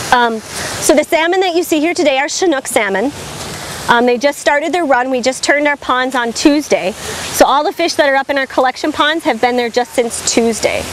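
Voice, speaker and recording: A young woman naturalist guiding a tour outdoors, talking with a cold and slightly losing her voice, steady and informative with frequent ums. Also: sits high, background noise. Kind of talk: outdoor guided tour talk. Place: outdoors by a river facility with a crowd. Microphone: far camera, no visible mic.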